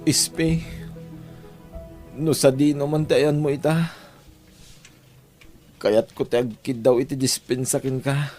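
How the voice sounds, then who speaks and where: A man acting a shy, reluctant, burdened young son in an Ilocano audio drama, speaking haltingly. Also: medium pitch, steady tone, a little mellow, soft background music, lightly acted. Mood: sorrowful.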